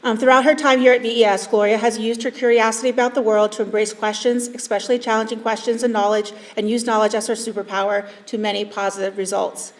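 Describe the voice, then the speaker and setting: A woman's voice: a little high, flat delivery, fast, few pauses. Earnest woman teacher heard from afar. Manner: earnest, formal, with hesitant fillers. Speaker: student advisor and teacher. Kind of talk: formal tribute speech. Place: school event hall. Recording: voice from a distance in a hall.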